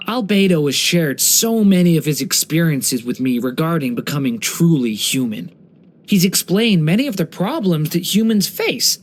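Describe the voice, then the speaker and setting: An actor voicing a gentle, earnest young dragon boy in a video game, speaking with soft-hearted wonder and care. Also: medium pitch. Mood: thoughtful, sincere. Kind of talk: scripted game character voice lines.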